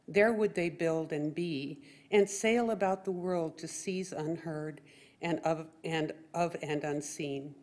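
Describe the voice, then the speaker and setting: An older woman reading a poem aloud in a measured, deliberate way at a podium microphone in a meeting room. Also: deep voice, a little mellow. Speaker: poetry reader. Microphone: gooseneck podium microphone.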